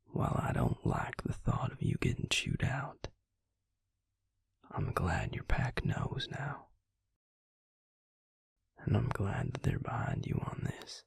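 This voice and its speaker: A man playing a protective, devoted vampire lover in an intimate romantic audio roleplay, speaking gently and unhurriedly in a husky, breathy near-whisper. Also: low-pitched, huge pitch swings, takes it slowly, pauses often, no echo, studio quality, full character voice. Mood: tender, protective.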